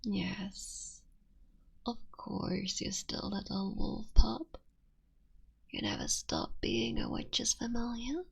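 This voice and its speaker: A woman voicing a tender, motherly female fox-spirit witch in an ASMR roleplay, speaking softly and often whispering. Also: mid-range voice, very animated, slow pace, creaky, husky, full character voice, poor audio. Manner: soft and intimate, often whispering.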